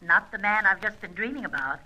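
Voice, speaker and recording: A brash, bright flirt. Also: female voice, mid-range voice, lively intonation, fairly quick, rough recording. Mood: teasing, flirtatious. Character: brash, flirtatious, mischievous American female adventuress. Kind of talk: old-time radio serial acting.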